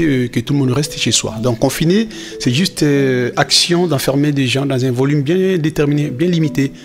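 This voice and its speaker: A man's voice: on the high side, natural voice. A man playing a reassuring father in a cartoon, explaining things patiently and a little hesitantly to his children. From Democratic Republic of the Congo. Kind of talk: animated film dialogue, semi-improvised explanation.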